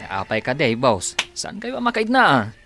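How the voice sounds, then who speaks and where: A man playing an eager, lively-voiced servant. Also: mid-range voice, measured pace. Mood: deferential. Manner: deferential, eager. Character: loyal male servant to a boss.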